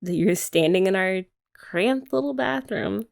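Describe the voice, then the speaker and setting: Woman playing a chatty young girlfriend, playful and sweet, light fry in the voice, easy mid-range pitch. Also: lively intonation, measured pace. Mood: tender. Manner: chatty, casual, with filler "like" and giggles. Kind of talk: ASMR roleplay.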